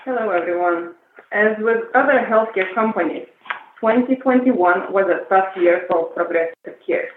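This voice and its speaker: A woman's voice: medium pitch, normal speed. Tinny phone sound, faint noise, woman, executive, scripted conference call remarks. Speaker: chief operating officer. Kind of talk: scripted earnings call presentation. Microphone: telephone conference call. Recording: noisy, echoey, tinny phone line.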